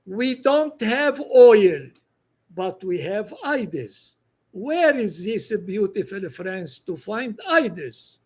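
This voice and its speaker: Man, Lebanese accent, high-pitched, slow, broken English, appealing tone. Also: sing-song, breathy edge. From northern Lebanon. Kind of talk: political monologue.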